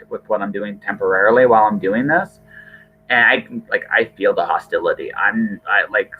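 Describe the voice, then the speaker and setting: A low-voiced man hosts a casual podcast over a thin, phone-like connection. He talks in loose, conversational runs, doubling back to repeat and reword his thoughts. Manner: hesitant, repeats and rephrases himself, hedging. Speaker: podcast host. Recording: thin, band-limited phone-like call audio.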